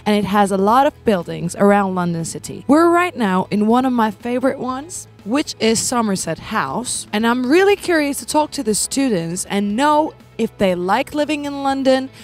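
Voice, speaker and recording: A woman's voice: on the high side, lively intonation, moderate pace, hardly any pauses, soft background music. A woman from Turkey hosting a street interview outdoors, asking questions in an enthusiastic, gushing way. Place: outdoors in a city square.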